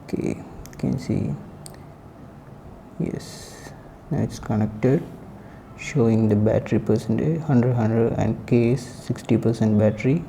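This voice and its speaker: A man giving a casual, unscripted product review in halting, short phrases. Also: medium pitch, creaky, warm tone, a little hiss or hum.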